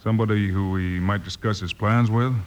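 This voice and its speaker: An American actor playing a steady, commanding Old West marshal in a radio western, plain-spoken and authoritative. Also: male voice, lowish voice, brisk, muffled recording, lightly acted. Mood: businesslike. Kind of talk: 1950s radio western acting. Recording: old radio recording, faint noise.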